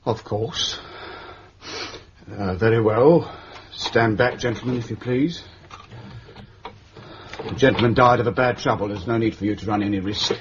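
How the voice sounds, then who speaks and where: An actor playing a stubborn, respectful working-class undertaker in a radio drama, self-justifying and folksy. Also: male voice, on the low side, a little slow, pauses often, highs cut off, noisy background, lightly acted. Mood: nervous deference. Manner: deferential, self-justifying, folksy turns of phrase. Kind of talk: British radio drama acting.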